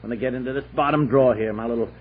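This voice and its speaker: An actor playing a sardonic, put-upon American husband in a 1960s radio comedy, grumbling drily. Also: male voice, mid-range voice, racing, breathy edge, narrow, tinny audio, close to an everyday voice. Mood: dry, sardonic. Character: exasperated, sardonic suburban husband and father.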